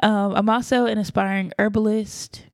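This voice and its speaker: A woman's voice: medium pitch, level tone, normal speed, no room sound, clean studio sound. A woman in her mid-twenties, co-hosting a podcast in a chatty, giggly, playful way. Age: 20s. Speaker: podcast co-host. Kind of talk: casual chat.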